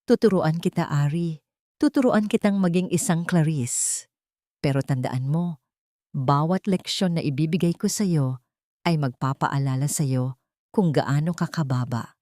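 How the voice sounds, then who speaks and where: A woman's voice: low-pitched, measured pace, mellow, no room sound, studio quality. A woman voicing a cruel, cold rich young woman in an audio drama, threatening and taunting. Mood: menacing, scornful.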